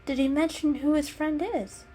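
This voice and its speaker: A woman voicing a friendly, bookish female house cat in a storybook reading, with an eager, warm and inquisitive manner. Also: high voice, steady tone, normal speed, faint music behind, pitched above the performer's own voice, natural voice. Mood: confused, questioning.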